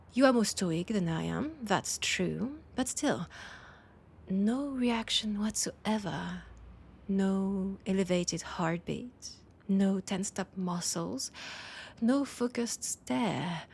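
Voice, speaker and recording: A woman acts out a poised vampire lady with a French accent in an intimate audio drama. She speaks slowly and breathily, often sinking toward a whisper, teasing an old rival while staying guarded. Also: a little mellow. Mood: cool, observant. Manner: slow, low-key teasing with breathy, near-whispered phrases. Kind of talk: intimate audio roleplay acting.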